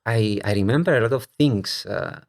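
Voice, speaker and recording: A man's voice: fairly low pitch, moderate pace, creaky. A man in his thirties from Cyprus, born in Bulgaria, talking reflectively as a podcast guest. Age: 30s. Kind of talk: reflective personal interview. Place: home studio at a dining table.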